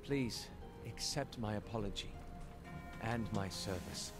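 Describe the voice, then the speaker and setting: An actor playing an earnest, contrite former spy in a fantasy video game, speaking in a measured way. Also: male voice, on the low side, loud music behind the voice, natural voice. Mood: contrite, resolved.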